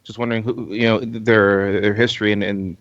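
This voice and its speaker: Easygoing podcast guest, dry lo-fi sound. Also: male voice, lowish voice, little pitch movement, a little fast, a bit bright, no echo. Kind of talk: casual podcast chat.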